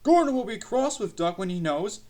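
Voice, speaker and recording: Shrill, cheeky old railway trucks. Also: male voice, lively intonation, moderate pace, darkish tone. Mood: gleeful, spiteful. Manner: shrill, groaning, protesting. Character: old, cheeky, rebellious railway goods trucks, wailing together. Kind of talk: children's storybook character voice.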